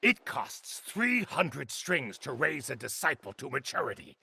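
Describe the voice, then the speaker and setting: An actor playing a bitter, hard-nosed senior craftsman in a video game, speaking in a scornful, deliberate, theatrical way. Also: medium pitch, sing-song, gravelly, sharp tone, breathy edge, dry recording, heavily acted. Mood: cold, defiant. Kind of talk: dramatic video game voice acting.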